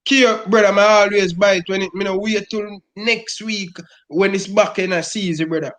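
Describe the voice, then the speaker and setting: High-voiced Jamaican man ranting. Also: steady tone, moderate pace, a bit bright. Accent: Jamaican Patois. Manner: emphatic, repeats "brother" constantly. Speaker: football fan guest. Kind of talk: impassioned fan rant.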